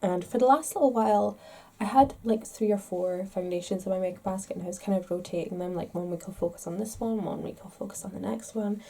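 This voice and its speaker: A woman's voice: medium pitch, fast, creaky, mellow, a little hiss or hum. A woman vlogger talking casually to the camera in a chatty, rambling, self-deprecating way, a little congested with a stuffed-up nose. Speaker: makeup vlogger.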